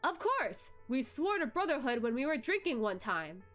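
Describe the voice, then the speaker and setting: Woman doing an eager, fawning middle-aged man, high-pitched. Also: sing-song, moderate pace, a bit bright, faint music behind. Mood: effusive. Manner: effusive, fawning. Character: fat, cowardly-looking middle-aged man, eagerly ingratiating.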